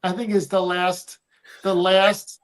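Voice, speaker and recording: A man's voice: high-pitched, flat delivery, takes it slowly. A man from the United States co-hosting a casual livestream, rambling, trailing off and restarting his sentences. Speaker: livestream co-host.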